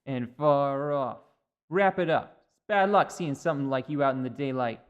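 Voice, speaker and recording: A man's voice: normal speed, highs cut off, close to an everyday voice. Man voicing a rude, barking inn patron. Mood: impatient. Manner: low, barking and impatient. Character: gruff rude male inn patron barking through a door.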